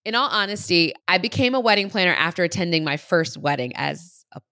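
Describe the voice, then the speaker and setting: Creaky, low-pitched woman podcast host. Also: lively intonation, brisk, pushed and bright. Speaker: podcast host and wedding planner. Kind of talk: casual chatty interview.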